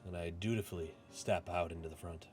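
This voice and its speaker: A man reads as a disillusioned office clerk in a visual novel. His low voice is subdued and reflective, with music playing underneath. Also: steady tone, fairly quick, close to an everyday voice. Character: disillusioned, introspective male office clerk narrating his life. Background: game music.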